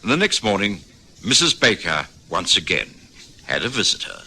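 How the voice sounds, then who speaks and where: An actor playing a detective's earnest, inquisitive companion and narrator in an old radio drama. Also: male voice, low-pitched, sing-song, moderate pace, pushed and bright, a little hiss or hum, full character voice. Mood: measured. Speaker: narrator and sidekick.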